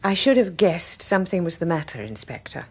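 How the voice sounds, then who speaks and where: An actress playing a guarded, self-reproaching wife in a radio drama, hesitant and reflective. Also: female voice, fairly low pitch, very animated, fairly quick, husky. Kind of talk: 1980s radio drama acting.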